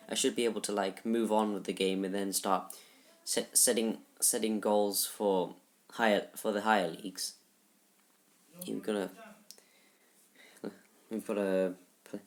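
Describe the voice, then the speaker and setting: A teenage boy giving casual gameplay commentary in a halting, rambling way, full of restarts, recorded in a shared room at home. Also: fairly low pitch. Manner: subdued, somewhat flat delivery. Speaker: gaming YouTuber.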